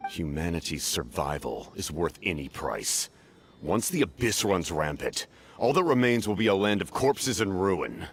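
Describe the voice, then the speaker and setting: A man's voice: deep voice, very animated, measured pace, a little hiss or hum. A man playing a stern, ruthless military commander in a video game, grave, commanding and formal. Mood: cold, insistent. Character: stern, ruthless male military harbinger, coldly pragmatic. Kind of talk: scripted video game voice acting.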